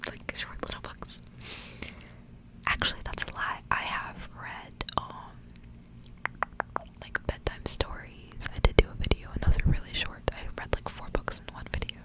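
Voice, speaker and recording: A woman whispering softly and breathily as an ASMR host, reading stories and chatting casually. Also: a little slow, long pauses, rough recording. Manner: whispering throughout, soft and breathy. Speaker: ASMR reader and channel host.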